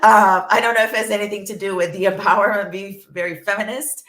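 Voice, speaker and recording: A woman from Brazil whose first language is Portuguese, chatting as an interview guest in an animated way, with lots of fillers and restarts. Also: mid-range voice, quick pace. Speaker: guest, journalism professor. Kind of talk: casual interview chat. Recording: echoey room.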